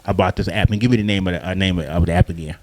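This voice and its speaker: A man's voice: low voice, racing, dry recording. A man hosting a local TV interview show, chatting casually with a guest and laughing easily. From Chicago, raised in Milwaukee. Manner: relaxed, laughs easily, street-casual phrasing. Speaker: TV show host.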